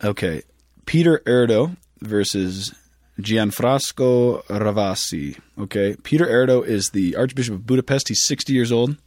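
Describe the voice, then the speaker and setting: A man's voice: medium pitch, lively intonation, moderate pace, darkish tone, no echo, studio quality. A man in his thirties co-hosting a podcast in casual chat, joking and laughing, stumbling over foreign names. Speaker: podcast co-host.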